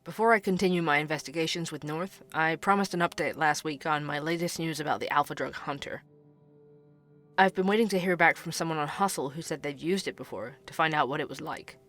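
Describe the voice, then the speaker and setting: A woman's voice: low-pitched, brisk, fairly bright tone, soft background music. A woman voicing a dogged, opinionated male podcast investigator who asks blunt, earnest questions. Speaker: podcast host. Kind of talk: audio drama acting.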